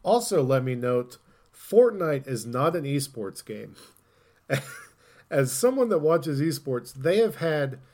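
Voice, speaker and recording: A man hosting a casual gaming podcast, talking in an opinionated way and thinking out loud as he goes. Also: medium pitch. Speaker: podcast host.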